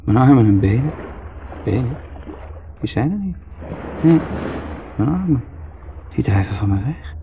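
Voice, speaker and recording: On a tinny, noisy 1970s radio tape, a sardonic man of middling pitch. He muses and rambles with dry irony, treating grim matters with fatalistic lightness. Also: big pitch swings, measured pace, pauses often. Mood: bewildered, resentful. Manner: wry, musing, ironic. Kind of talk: 1970s radio drama monologue and dialogue.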